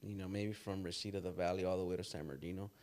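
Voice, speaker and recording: A man from Los Angeles hosts a casual interview with a somewhat low voice. He asks questions in a loose, chatty way and drifts into animated stories, acting out voices and sound effects. He laughs along with his guest. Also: racing.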